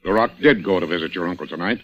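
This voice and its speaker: A man playing a shrewd, dry, commanding police inspector in old-time radio mystery style, deliberate and sardonic in his questioning. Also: low voice. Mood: dry, probing. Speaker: radio actor. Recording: muffled, hissy old radio recording.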